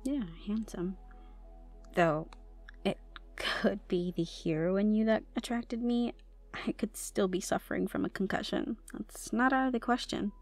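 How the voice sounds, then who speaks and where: Over background music comes a troubled young tomboy goth girl, acted by a woman in an ASMR roleplay. She is vulnerable and shy, speaking at a medium pitch with hesitant phrasing and hushed asides. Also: unhurried. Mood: grateful, teasing. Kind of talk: intimate ASMR roleplay acting.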